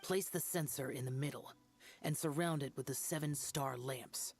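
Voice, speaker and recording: A low, stern ritual master. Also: female voice. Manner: measured, formal, instructive. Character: stern ancient adept ritual master, formal.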